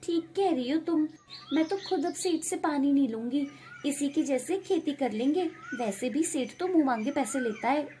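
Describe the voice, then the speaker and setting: A woman voicing a scheming, envious village farm woman gossiping in an animated moral story. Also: sits high, moderate pace, a little hiss or hum, voice pushed up.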